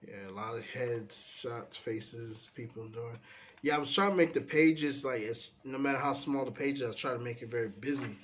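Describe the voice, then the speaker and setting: Stuffy-nosed male artist, mid-pitched, loose rambling sketchbook walkthrough with a faint hum behind. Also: normal speed. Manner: relaxed, meandering, trails off often. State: stuffy from a cold. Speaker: artist flipping through his sketchbook. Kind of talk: casual rambling commentary.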